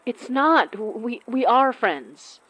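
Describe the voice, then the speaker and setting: A woman's voice: on the high side, sing-song, slow pace, fairly bright tone, dry recording, full character voice, low-quality recording. A woman playing an awkward, apologetic young woman in a naturalistic audio drama, speaking hesitantly with stammers. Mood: flustered, defensive. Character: awkward, apologetic young woman, torn about a close friend.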